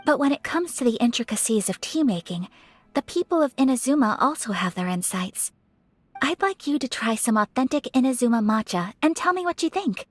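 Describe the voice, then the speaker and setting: A woman voicing a refined, gentle young noblewoman in a video game, speaking with formal courtesy and shy affection. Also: a little high, very animated, brisk, no room sound. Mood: thoughtful, refined. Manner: formal, polite, softly hesitant phrasing. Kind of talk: scripted video game character voice acting.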